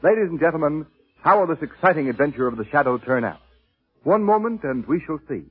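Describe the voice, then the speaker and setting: A man's voice: on the high side, expressive, fast talker. A man announcing in the emphatic, declamatory style of a 1930s radio advertisement. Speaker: announcer reading a sponsor message. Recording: tinny old radio recording, music behind.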